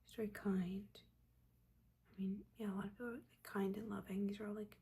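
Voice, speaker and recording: A woman voicing a caring, judging witch mentor in a soft, breathy whisper, gently and haltingly giving guidance in an ASMR roleplay. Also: mid-range voice, little pitch movement. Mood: gently probing. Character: older witch mentor, motherly, judging yet caring teacher.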